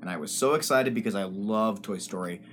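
A man's voice: lowish voice, a little fast, soft background music. A man co-hosting a casual podcast chat, telling stories animatedly and laughing often. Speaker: podcast co-host.

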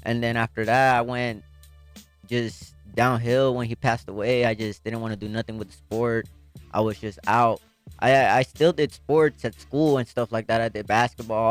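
A young man from Puerto Rico, a boxer, telling his life story in an earnest, soft-spoken way with many fillers. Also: medium pitch. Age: young adult. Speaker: professional boxer guest. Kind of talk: interview storytelling.

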